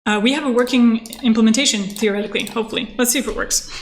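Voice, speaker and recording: A woman, explaining technical things casually and haltingly on a livestream. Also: a little high. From born in Moscow, grew up in Canada. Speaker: software engineer, livestream host.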